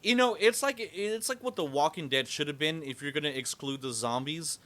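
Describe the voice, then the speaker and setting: A man hosting a casual podcast chat, animated and swearing casually as he talks. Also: on the high side, normal speed. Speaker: podcast host.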